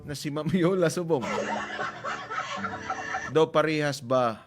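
A man's voice: on the high side, slow. A man acting in a comic drama, joking and laughing as he asks a friend for advice. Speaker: comic drama creator and actor. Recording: loud music behind.

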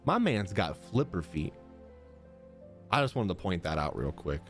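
A man's voice: low-pitched, very animated, unhurried. A man in his thirties giving casual, slangy live reactions as a game streamer, close on a desk microphone. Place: small indoor gaming room. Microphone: close desk microphone, headset on. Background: game music.

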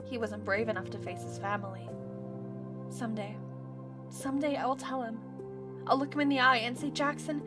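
A woman's voice: on the high side, lightly acted. A woman playing an anxious, weary but loyal young survivor in an audio drama, earnest and pleading.

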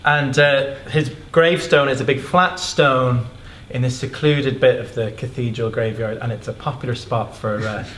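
A man's voice: mid-range voice, fairly even intonation, normal speed, few pauses, fairly bright tone, a little hiss or hum. A Northern Irish man, an actor, telling a wry anecdote to his cast. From Downpatrick, Northern Ireland. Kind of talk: casual anecdote.

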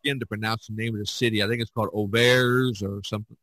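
A man hosting a podcast discussion, talking in an animated, emphatic way with many restarts. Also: lowish voice, fast. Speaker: podcast host. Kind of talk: casual discussion.

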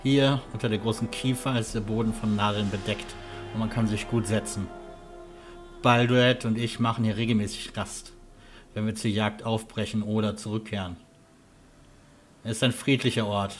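A man playing a calm, friendly hunter and guide in a fan-made fantasy audio drama. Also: on the low side, moderate pace, music in the background, natural voice. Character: friendly, down-to-earth male hunter guide Jannis.

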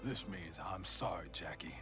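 An actor playing a gruff, remorseful soldier father in a dramatic game cutscene. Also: deep voice, normal speed. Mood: apologetic, resolved. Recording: loud music behind the voice.

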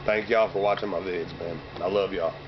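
A man in his thirties with a hoarse voice, rambling through casual slang-heavy shout-outs outdoors on a cold day, recorded by a camera a few meters away. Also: deep voice, fairly even intonation, moderate pace. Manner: loose slang-heavy shout-outs, drinking between lines. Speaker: vlogger. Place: outdoors by bare trees and fields on a cold sunny day. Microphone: camera mic from a few meters away. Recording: dull lo-fi camera audio with outdoor noise.

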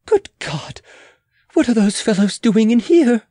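A man's voice: high voice, big pitch swings, unhurried, a little mellow, husky, dry recording, pitched above the performer's own voice, exaggerated voice. A man voicing a proud, imperious and agitated queen in a dramatic audiobook, haughty and exclamatory. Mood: alarmed. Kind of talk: dramatic audiobook character reading.

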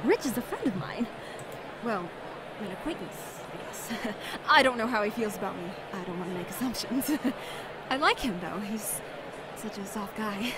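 A woman's voice: mid-range voice, lively intonation, moderate pace, lightly acted. A woman voicing a pushy, sassy young female food vendor in a visual novel, with emphatic, theatrical delivery. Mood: coy, fond. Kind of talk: acted visual novel dialogue. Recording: clearly noisy background ambience.

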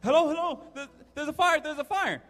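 Man acting as little boy, high-pitched, urgent, excitable, repeating himself, children's story, reverberant hall. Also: brisk. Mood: excited alarm. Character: excitable little boy playing a pretend emergency.

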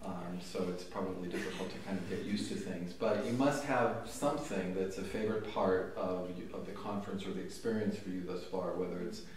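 A middle-aged man hosting a casual interview in a conference room, relaxed and chatty with fillers. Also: low voice, fairly quick, hardly any pauses, slight background noise. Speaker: interviewer, content manager. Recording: echoey room, mic far off.

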